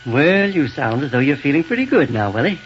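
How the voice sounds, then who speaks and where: A man playing a kindly, cautious doctor in a 1930s radio serial, soothing and deliberate, on a noisy old broadcast. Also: on the high side, big pitch swings, dull audio. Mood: measured, friendly. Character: kindly, cautious doctor tending a hurt boy.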